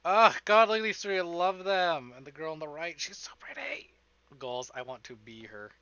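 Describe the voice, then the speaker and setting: A man giving casual, profane livestream commentary on a game, expressive and often talking to himself. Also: a little high, normal speed, lo-fi sound, a little hiss or hum. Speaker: streamer playing a visual novel. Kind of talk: casual livestream commentary with dramatic read-aloud of game text. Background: game music.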